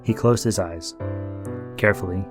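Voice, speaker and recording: A man with a very low voice narrates fiction from a script, as in an audiobook. Music with guitar and piano plays clearly behind his reading. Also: moderate pace.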